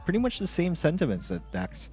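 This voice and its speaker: A man giving live esports analysis as co-caster, measured, hesitant and agreeable in manner. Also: a little high, wildly swooping pitch, darkish tone. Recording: music under the voice.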